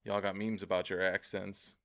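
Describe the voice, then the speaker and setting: A man's voice: deep voice, flat delivery, moderate pace, vocal fry, no echo, studio quality. A young man streaming a game, rambling and swearing as he chats to viewers about himself. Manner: rambling, profane, chatty, often laughs. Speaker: gaming streamer. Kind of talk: casual gameplay commentary.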